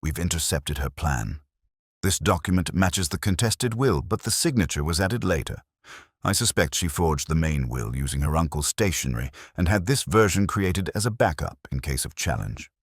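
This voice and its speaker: A man voicing a composed, shrewd Victorian detective in a storybook reading, with precise, formal, deliberate speech. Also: extremely low pitch, expressive, husky, no echo, clean studio sound, exaggerated voice. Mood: analytical, grave.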